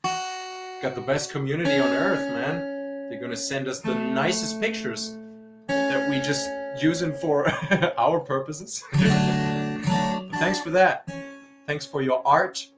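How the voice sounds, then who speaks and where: A man's voice: a little high, slow, long pauses, echoey room. A young man co-hosting a music livestream, teasing his bandmate and laughing often. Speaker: bass player and co-host. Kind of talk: casual chat between songs. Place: dim room with purple stage lighting. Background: guitar playing.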